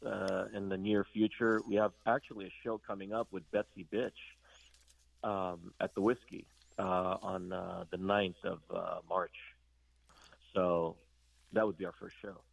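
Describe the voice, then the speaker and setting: Gravelly-voiced man, halting speech. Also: deep voice, little pitch movement, no echo, low-quality recording. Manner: halting, full of fillers and restarts. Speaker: band guitarist guest. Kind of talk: casual interview chat.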